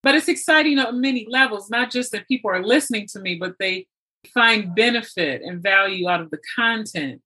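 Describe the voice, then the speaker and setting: Bright-voiced, enthusiastic woman hosting. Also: mid-range voice, brisk, slightly rough. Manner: enthusiastic, affirming. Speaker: podcast host and novelist. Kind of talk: conversational interview.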